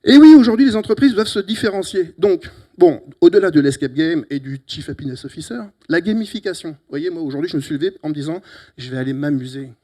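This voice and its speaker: A middle-aged man from Italy with an Italian accent, giving a lively, teasing closing talk at a conference on a handheld mic, with sudden loud emphatic bursts. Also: fairly high pitch, fast. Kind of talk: lively, joking conference speech. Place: conference hall. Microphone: handheld microphone.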